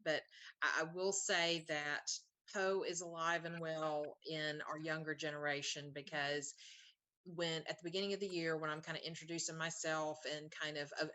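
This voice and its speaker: A woman's voice: low voice, bright, forceful tone. A woman talking in casual conversation, like a teacher chatting about work.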